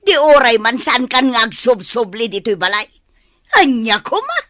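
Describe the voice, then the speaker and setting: A woman with an Ilocano accent acting a bitter, unforgiving middle-aged mother in a radio drama, sharp and reproachful. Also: fairly high pitch, very animated, normal speed, dry recording, clean studio sound. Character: bitter, unforgiving mother and nagging village wife.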